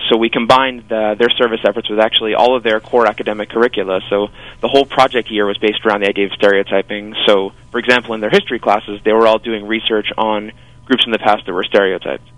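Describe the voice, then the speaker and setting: A young man in his twenties speaks as a radio guest, earnest and quick-talking. His voice is low and the sound is dry and close. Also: fairly even intonation, a bit bright, dry recording, low-quality recording. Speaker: guest, former volunteer. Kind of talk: radio interview.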